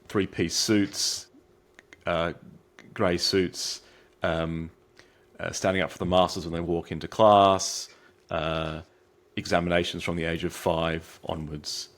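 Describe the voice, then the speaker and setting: A man's voice: low voice, level tone, slow, long pauses. An Australian man as an interview guest, talking in a reflective way with many restarts and fillers. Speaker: guest, psychiatric trainee. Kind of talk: interview answers.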